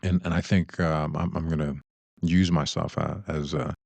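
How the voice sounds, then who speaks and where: A man with a deep voice, co-hosting a casual advice discussion in a reflective way, often posing rhetorical questions. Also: flat delivery, normal speed, vocal fry, a little mellow, no room sound. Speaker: podcast co-host.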